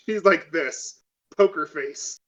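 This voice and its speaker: A man with a slightly high voice talks through his moves as a tabletop game player. His talk is casual and slangy, full of loose paraphrase. The sound is dull and lo-fi.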